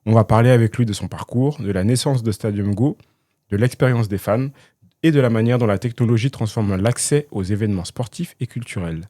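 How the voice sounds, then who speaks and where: A man hosting a podcast, reading a scripted intro and asking measured interview questions. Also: lowish voice, warm tone, dry recording, clean studio sound. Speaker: podcast host.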